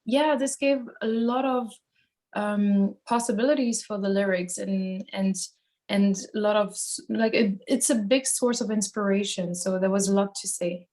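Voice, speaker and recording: A woman's voice: mid-range voice, steady tone, normal speed, darkish tone. A woman from Switzerland, a band singer, giving casual interview answers in a thoughtful, hesitant way as she searches for phrasing.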